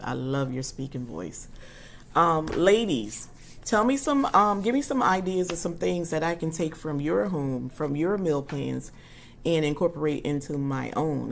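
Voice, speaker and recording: A husky-voiced woman in her forties hosting a casual livestream chat, talking warmly and loosely with her viewers with lots of ums. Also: sits low, slight background noise. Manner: chatty and warm toward her viewers, frequent ums. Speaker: livestream host.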